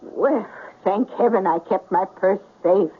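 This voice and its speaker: A woman's voice: mid-range voice, a little slow, slightly rough. An older woman playing a kindly, trusting little old lady who fusses hospitably, in a 1970s radio drama. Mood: warm, fussing. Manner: fussing, motherly, chatty. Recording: noisy, narrow-band 1970s radio recording.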